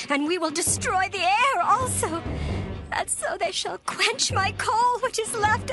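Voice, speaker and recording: An actress playing a distressed widow beseeching a king in a theatrical dramatized scripture reading. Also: very high-pitched. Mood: distressed pleading. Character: pleading, distressed widow woman petitioning a king. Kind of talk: dramatized Bible audio acting.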